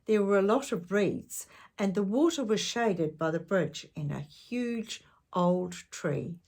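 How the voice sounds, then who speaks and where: A woman reading a children's storybook aloud, with measured, expressive narration for young listeners. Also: medium pitch.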